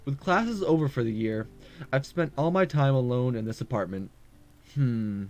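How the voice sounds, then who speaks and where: A man's voice: medium pitch, lively intonation, moderate pace, a little mellow, close to an everyday voice. A man voicing a lonely, homesick university student in introspective, polite visual novel narration. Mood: wistful. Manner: introspective first-person narration, polite and subdued. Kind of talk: visual novel read-aloud. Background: music.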